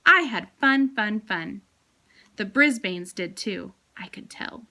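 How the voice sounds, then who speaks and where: A woman's voice: mid-range voice, animated, slow pace, bright, forceful tone, full character voice. A woman voicing a small, eager male pet hamster who tells his adventures in a playful storybook manner. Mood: delighted.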